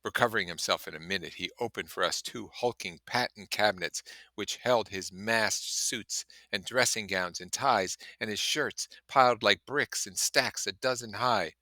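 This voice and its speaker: A man's voice: fairly low pitch, moderate pace, few pauses, sharp tone, dry recording. A man reading a novel aloud expressively, with casual explanatory asides. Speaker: reader and commentator.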